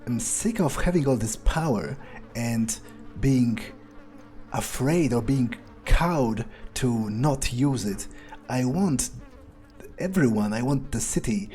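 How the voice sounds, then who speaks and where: A man plays an ambitious, restless vampire thinking out loud during a video game. His voice is mellow and medium in pitch, and he talks slowly and haltingly, with profanity mixed in. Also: lightly acted. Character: proud, ambitious male vampire, restless and power-hungry. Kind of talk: improvised in-character gameplay monologue.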